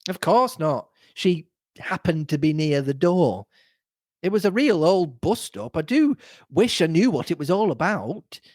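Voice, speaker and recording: Man doing an eager, high-voiced gossipy young woman. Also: lively intonation, a little slow, a little mellow, no echo, clean studio sound, heavily acted.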